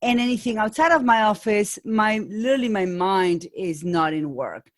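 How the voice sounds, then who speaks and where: Middle-aged woman, Argentine accent, mid-pitched, thoughtful guest coach, frequent self-corrections. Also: normal speed. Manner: thoughtful, restarts often, light laughs. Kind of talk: conversational interview.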